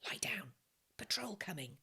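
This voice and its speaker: A woman's voice: medium pitch, big pitch swings, measured pace, bright, forceful tone, slightly rough, voice pushed up, heavily acted. A woman voicing a small, cheeky, secretive orphan boy in a storybook reading, speaking in short matter-of-fact phrases. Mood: urgent, hushed.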